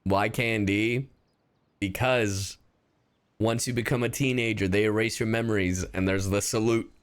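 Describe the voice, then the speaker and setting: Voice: medium pitch, steady tone, a little slow, clean tone. A game streamer giving casual livestream commentary in a playful, slangy, joking way, with some swearing.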